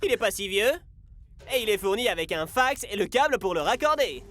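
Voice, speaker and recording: A man's voice: high voice, expressive, brisk, noisy background. A man voicing a stubborn, laid-back, old-fashioned football player in a cartoon. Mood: defensive. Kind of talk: animated cartoon dub acting. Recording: music behind the voice.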